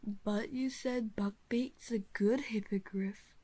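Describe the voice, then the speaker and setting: Woman playing a mellow, casual teenage schoolboy. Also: mid-range voice, steady tone, slow, dry recording. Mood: concerned. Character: plain-spoken teenage schoolboy, loyal, casual friend. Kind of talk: audio drama acting.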